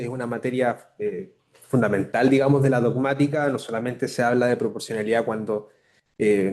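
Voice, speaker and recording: A man's voice: mid-range voice, darkish tone. Hesitant man commenting on a lecture. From Chile. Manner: hesitant, many fillers and restarts. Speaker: academic commentator. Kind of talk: spoken academic commentary.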